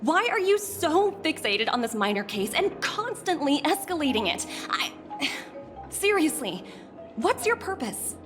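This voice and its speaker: A woman voicing a young, polite and sharp corporate executive in a video game, with a formal manner. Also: sits high, big pitch swings, unhurried. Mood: exasperated. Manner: formal and deferential. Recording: background music behind voice.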